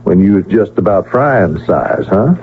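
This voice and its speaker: A man's voice: lowish voice, lively intonation, a little slow. A cheeky American ranch peddler in a radio western. His folksy drawl swoops up and down, teasing and ingratiating. It is heard through a tinny old broadcast with some background noise. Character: folksy, cheeky, fast-bargaining traveling frontier peddler. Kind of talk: 1970s radio western acting.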